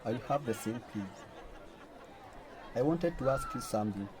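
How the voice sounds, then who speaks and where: A man's voice: mid-range voice, lively intonation, unhurried, a little mellow, close to an everyday voice. Man acting a curious colleague amid crowd noise. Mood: friendly, curious. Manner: chatty, inquisitive. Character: friendly, curious male colleague at lunch. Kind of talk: radio drama acting. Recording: clear crowd and outdoor urban noise.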